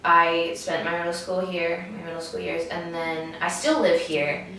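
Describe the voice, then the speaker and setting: Bright-voiced teen girl, distant echo. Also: on the low side, fairly even intonation. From Ecuador. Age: teens. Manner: halting, fillers. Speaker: interviewee. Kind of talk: reflective interview answers. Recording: echoey room from far off, faint music.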